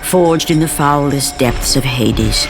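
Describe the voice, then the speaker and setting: A man giving grave, measured, scripted narration for a video game story. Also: mid-range voice, vocal fry. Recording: loud music score behind.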